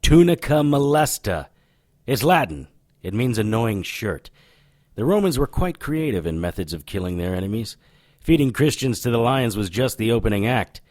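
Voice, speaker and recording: A man's voice: fairly low pitch, darkish tone, dry recording, clean studio sound. A man playing a cocky, sardonic occult detective in a noir-flavored audio drama, showy and needling.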